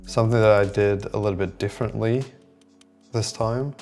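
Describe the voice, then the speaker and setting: A man speaks casually to his vlog audience in a low, gravelly voice. He takes his time and rambles informally, with music playing behind him. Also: steady tone, normal speed, a little mellow. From lives in southern Sweden. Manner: rambling, informal, hesitant phrasing. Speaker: host of a startup-building video diary. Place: indoor room beside a window.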